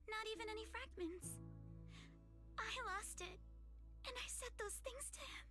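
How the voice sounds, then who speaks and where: Very high-voiced, gentle young woman. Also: music in the background. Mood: grieving, guilty. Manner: formal, gentle, measured. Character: polite, wistful young woman carrying guilt over her lost father. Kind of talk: dramatic game voice acting.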